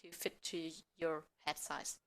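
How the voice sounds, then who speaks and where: A woman speaking as a podcast guest, improvising role-play and mock pitches in an amateur theatre manner. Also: flat delivery, unhurried.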